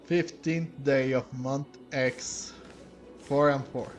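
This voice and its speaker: A man's voice: mid-range voice, steady tone, slow pace. A man doing casual let's play commentary as a video game streamer, reading some dialogue aloud. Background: game music.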